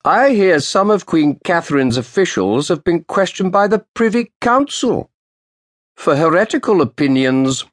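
A sharp, stern old man past sixty, as a male narrator puts him on, sitting well above the reader's own register. He speaks in clipped, sardonic phrases with a lively rise and fall, in a close, dry recording. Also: sits high, unhurried, no echo, pitched above the performer's own voice.

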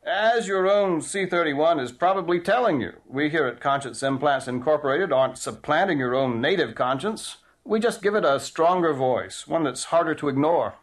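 A man's voice: on the high side, expressive, moderate pace, few pauses. A man playing a jovial, self-satisfied older doctor in an audio drama, chatty, avuncular and pompous.

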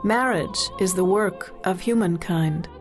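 A woman narrating a literary story in verse as an audiobook, with measured, dramatic recitation. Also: fairly low pitch, soft background music.

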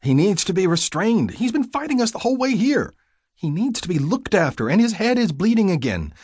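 A man voicing a female nurse in an audiobook, caring but firm and gently bossy, soothing and scolding. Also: high voice, very animated, fairly quick, breathy edge, no echo, clean studio sound, pitched above the performer's own voice, exaggerated voice. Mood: urgent, commanding.

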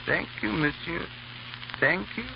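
Guy doing a pleading old crone, thin creaky high voice, old radio drama, muffled and hissy. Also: measured pace. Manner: pleading, polite. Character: quavering old beggar woman, a hag in disguise.

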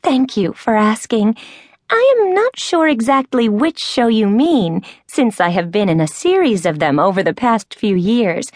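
A woman playing an effusive short woman, chattering, higher voice, dry close sound. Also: very animated, a little slow, darkish tone, dry recording. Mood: chatty. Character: gushing short woman reuniting with childhood friend.

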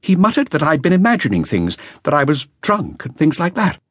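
A man's voice: a little high, huge pitch swings, fairly quick, breathy edge, no echo, studio quality, voice pushed up, full character voice. A man voicing a nervous, earnest young male flautist telling what he witnessed. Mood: anxious. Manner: anxious, breathless, earnest.

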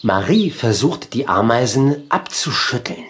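A storybook narrator reading a children's tale in a deliberate, expressive way. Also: mid-range voice, slight background noise. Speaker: audiobook narrator.

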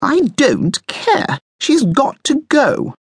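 Storybook female guinea pig, acted by a narrator, high-pitched, swooping, bossy, impatient, emphatic. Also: wildly swooping pitch, slow pace, no room sound, studio quality, heavily acted. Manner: sharp, scolding, emphatic.

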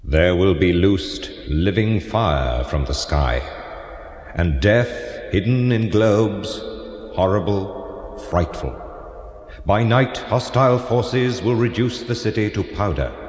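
An actor playing a solemn French Renaissance prophet and physician in an audio drama, declaiming gravely in formal, archaic phrases. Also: male voice, low voice, lively intonation, slow, darkish tone. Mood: ominous prophecy. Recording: long cavernous reverb on voice.

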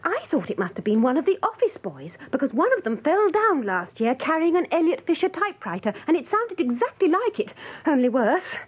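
A gossipy English office typist in a radio drama. Her high voice rises and falls vividly as she gushes breathlessly and excitably. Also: brisk, hardly any pauses. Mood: excited, shocked. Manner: breathless, gushing storytelling. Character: chatty, excitable female office typist who loves gossip.

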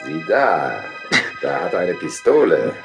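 A man playing a gruff, suspicious, menacing tavern keeper in a German audio drama, blunt and surly. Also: low-pitched, sing-song, measured pace, heavily acted. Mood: hostile, menacing. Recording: music under the dialogue.

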